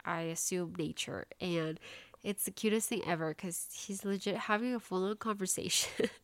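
A woman's voice: lowish voice, normal speed, no room sound. A woman in her late twenties hosting a casual solo podcast, chatting in a bubbly way full of "like" fillers, with a stuffy, congested nose. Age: late 20s. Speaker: podcast host.

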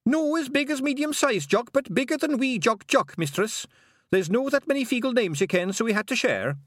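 A man voicing an eager young male pixie with a Scottish accent, talking high and chirpy. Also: expressive, moderate pace, fairly bright tone, dry recording, clean studio sound, exaggerated voice.

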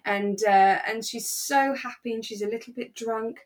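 A British woman co-hosting a podcast, talking casually in an animated, emphatic way. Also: fairly high pitch, normal speed. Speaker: podcast co-host. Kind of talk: casual chat.

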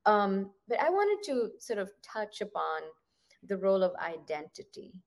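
A woman's voice: mid-range voice, very animated, unhurried. A woman interviewer with a mid-range voice asks long, reflective questions. She winds through her thoughts, dotting them with "you know" and "sort of". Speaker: podcast host, interviewer.

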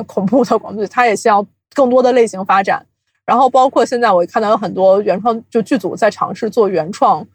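A woman's voice: mid-range voice, husky, no echo. A woman speaking casually as an interview guest, thinking aloud and explaining how her industry works. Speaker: guest, audio drama producer and company chief. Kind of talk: casual interview talk about the audio drama industry.

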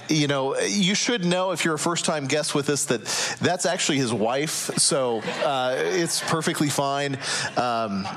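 A male pastor delivers a sermon in a church sanctuary, projecting his voice to the room without a microphone. His voice sits a little high. He talks to the congregation in an easy, conversational way, mixing dry humor with earnest teaching. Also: fairly bright tone, slight background noise. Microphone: no microphone, loud projecting voice.

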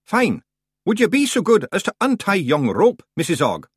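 A man voicing a tiny, tough male fairy chief with a Scottish accent, blunt and gruff. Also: high voice, wildly swooping pitch, moderate pace, no room sound, voice pushed up, exaggerated voice.

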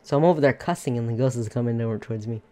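A man's voice: medium pitch, very fast, mellow. A young man co-hosting a casual podcast, teasing his friends, laughing often and cussing freely. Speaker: podcast co-host. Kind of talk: casual chat among friends.

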